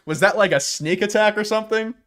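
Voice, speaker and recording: Man, live stream host, casual commentary, halting, restarts sentences, repeats words. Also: high-pitched, a bit bright.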